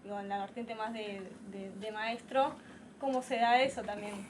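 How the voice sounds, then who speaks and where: A woman's voice: mid-range voice, normal speed. A woman interviewing in a conversational style, phrasing her questions tentatively and giving short affirmations. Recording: distant mic in a faintly noisy room.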